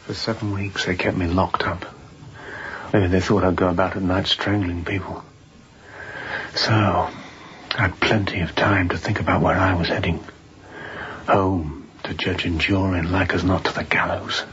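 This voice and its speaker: An actor playing a weary, haunted escaped seaman who confides in a hushed, halting way, as in a radio drama. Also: low-pitched, flat delivery, highs cut off. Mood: bitter, haunted. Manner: hushed, breathy, halting confession.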